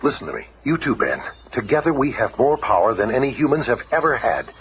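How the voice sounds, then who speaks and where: An actor playing an earnest, authoritative scientist who leads a superhero team in a radio drama. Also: male voice, medium pitch, big pitch swings, brisk. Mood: resolute. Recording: muffled, noisy old radio broadcast.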